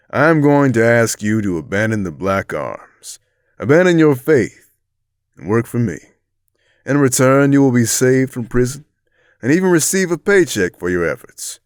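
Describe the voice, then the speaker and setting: A man's voice: mid-range voice, clean tone, no room sound, studio quality, close to an everyday voice. A man playing a big middle-aged crime boss in an audio drama, theatrical and condescending, with menace under a mock-friendly manner.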